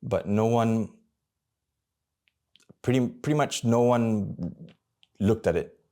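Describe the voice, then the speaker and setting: A man in his thirties from Malaysia, talking candidly to camera about his own life, halting and searching for words as he goes. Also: fairly low pitch, dark tone. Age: 30s. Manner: candid and introspective. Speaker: vlogger. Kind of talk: personal reflective monologue.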